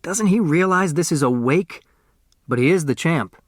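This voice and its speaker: A man's voice: on the high side, expressive, normal speed, dry recording, studio quality, pitched above the performer's own voice. A man voicing a proud, scornful father in a storybook, grumbling and boasting. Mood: scornful pride.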